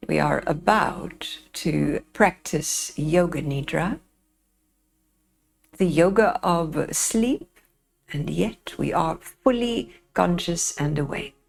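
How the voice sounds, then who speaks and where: A woman leads a guided meditation at a very slow pace, leaving space between phrases. Her voice is on the low side with a creaky, gravelly edge. She instructs gently and soothingly, as a yoga nidra teacher. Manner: soothing, gentle, unhurried guiding with pauses.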